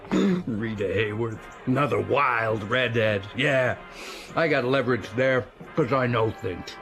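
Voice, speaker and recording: Boastful sleazy American dad, swooping pitch. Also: male voice, lively intonation, takes it slowly, a bit bright, soft background music. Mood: boastful, leering. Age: middle-aged. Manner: bragging, slangy, leering. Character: boastful, crude, sleazy middle-aged American father. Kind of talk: radio drama acting.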